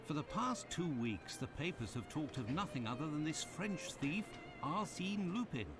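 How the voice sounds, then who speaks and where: An actor playing a fussy, talkative doctor companion in a video game. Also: male voice, fairly high pitch, animated, moderate pace. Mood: chatty. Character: chatty loyal male doctor companion. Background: game music.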